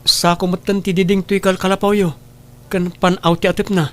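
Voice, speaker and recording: An actor playing a dutiful, apologetic grown son in a family drama, speaking earnestly and respectfully. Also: male voice, high voice, flat delivery, a little fast, natural voice. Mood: shocked, apologetic. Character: dutiful adult son, apologetic and concerned for poor neighbours. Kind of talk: Ilocano radio-style family drama acting.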